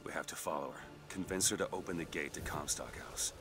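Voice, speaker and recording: Low, gruff gun-for-hire. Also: male voice, fast talker, over loud music. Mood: gruff, wary. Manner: gruff, blunt, terse. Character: gruff, haunted male gun-for-hire, blunt and weary. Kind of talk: video game character acting.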